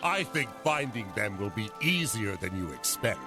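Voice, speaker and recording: A wry, low-voiced sailor sidekick. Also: male voice, sing-song, normal speed, over loud music. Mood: sardonic. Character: wry, irreverent, hard-drinking Chinese sailor, loyal sidekick. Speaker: game character, captain's companion. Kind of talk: dramatic video game cutscene acting.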